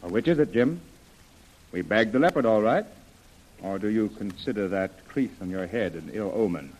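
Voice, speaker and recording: Earnest, formal radio-drama major. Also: male voice, fairly low pitch, lively intonation, moderate pace, darkish tone, rough recording. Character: earnest, persuasive gentleman major on jungle expedition. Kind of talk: old-time radio adventure acting.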